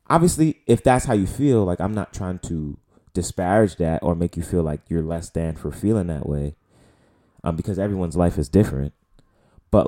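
New york dude in his late 20s rambling on his podcast, deep mellow voice, lots of cussing and fillers. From the Bronx, New York. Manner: loose, profane, rambling with many fillers. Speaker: podcast host. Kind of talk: casual solo podcast talk.

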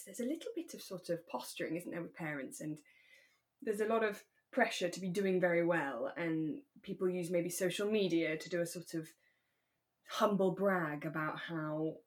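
A woman's voice: on the low side, normal speed. A woman co-hosting a podcast, chatting casually and explaining things as she discusses a drama series. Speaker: podcast co-host. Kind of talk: casual chat reviewing a radio drama.

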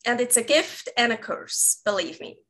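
A woman's voice: a little high, animated, moderate pace, fairly bright tone. A woman in her thirties from Switzerland with a Swiss accent, chatting as an interview guest, restarting her sentences often and laughing as she goes. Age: 30s. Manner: rambling, self-correcting, laughs often. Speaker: guest, recipe developer. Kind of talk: casual interview conversation.

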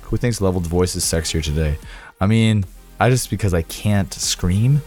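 Hoarse raspy guy on a livestream, lowish voice, rambly and sarcastic, faint music under him. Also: normal speed, darkish tone. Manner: rambling, sarcastic, swears, reads aloud to chat. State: hoarse, sore voice. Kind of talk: casual livestream chat with viewers.

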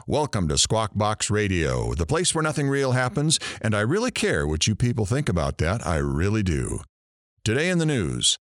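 A man's voice: medium pitch, a bit bright, no room sound, studio quality. A man playing a brash, crude, wisecracking talk radio host in an audio drama, with showy, sarcastic patter. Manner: showy, sarcastic, innuendo-laden banter.

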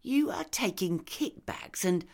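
A woman voicing an earnest captive nurse in an audiobook, questioning and accusing in a clear manner. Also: lowish voice, lively intonation, slow pace, darkish tone, pitched above the performer's own voice, full character voice. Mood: accusing, probing. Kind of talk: audiobook character dialogue.

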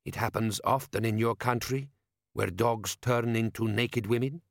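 A man voicing a proud, reproachful desert warrior who speaks slowly and deliberately. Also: medium pitch, vocal fry, no echo, clean studio sound. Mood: sly, deadpan. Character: fierce reproachful desert warrior, proud self-declared dreg.

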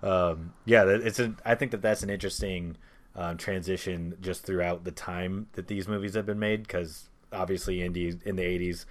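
Low-voiced man chatting casually about films. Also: fairly even intonation, moderate pace. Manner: informal, conversational, mildly sweary. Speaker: podcast host. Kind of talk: casual film discussion among friends.

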